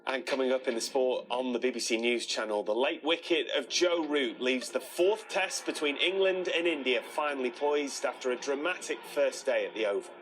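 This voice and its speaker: A man's voice: medium pitch, moderate pace, hardly any pauses, fairly bright tone. A man reading scripted sports headlines as a news presenter.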